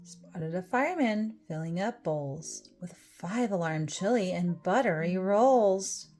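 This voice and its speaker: A woman reading a rhyming children's storybook aloud, with expressive, deliberate phrasing. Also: fairly low pitch. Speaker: storybook reader. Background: music.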